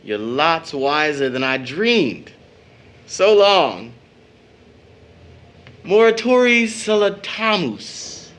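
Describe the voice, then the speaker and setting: An actor playing an outspoken young man in an audio drama, with an emphatic, expressive delivery. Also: on the high side, drawn-out words, long pauses, heavily acted. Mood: brisk, teasing. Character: Tom, impassioned outspoken young brother, teasing. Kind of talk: stage play audio drama acting.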